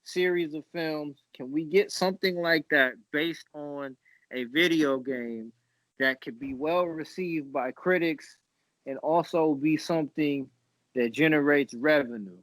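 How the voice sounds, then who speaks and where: A man's voice: fairly high pitch, unhurried, fairly bright tone, dry recording, low-quality recording. A man hosting a podcast in casual chat about movies and games, speaking informally and often repeating his phrases as he goes. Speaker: podcast host.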